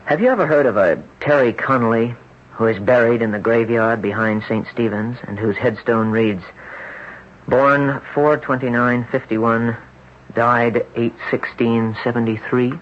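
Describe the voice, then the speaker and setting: A man acting a desperate, brooding young patient in an old-time radio drama, earnest and deliberate in his delivery. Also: medium pitch, natural voice. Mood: desperate, intense. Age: 20s. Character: haunted, desperate young man trapped in another's body. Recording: muffled, noisy old radio broadcast recording.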